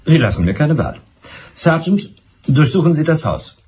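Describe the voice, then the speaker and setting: An insistent, animated police inspector. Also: male voice, a little high, moderate pace, husky, exaggerated voice. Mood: dryly exasperated. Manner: formal, insistent, dry questioning. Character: persistent, exasperated male police inspector questioning a suspect. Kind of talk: radio drama acting.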